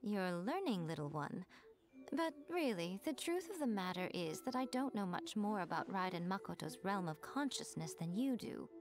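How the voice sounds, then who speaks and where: A woman's voice: medium pitch, very animated, normal speed, close to an everyday voice. A sly, teasing noblewoman, played by a woman with an easy mid-range voice. She talks in a languid, knowing way, amused by the people around her. A video game character with faint music underneath. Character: sly, teasing adult woman of high rank, amused and patient.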